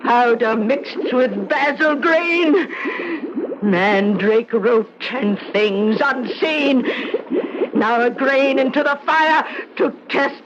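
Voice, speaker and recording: Slow, menacing old witch woman. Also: on the high side. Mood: vengeful. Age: older. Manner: slow, theatrical, vengeful incanting. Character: menacing old hag witch living with cats. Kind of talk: old-time radio drama acting. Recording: dull, noisy old radio recording.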